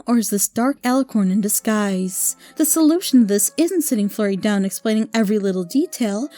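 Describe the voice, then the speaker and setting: A woman with a high, softly mellow voice delivers a scripted critique. She reasons through her points in a wry, analytical way. Music plays underneath her narration. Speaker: reviewer of fan audio dramas. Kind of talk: scripted review commentary.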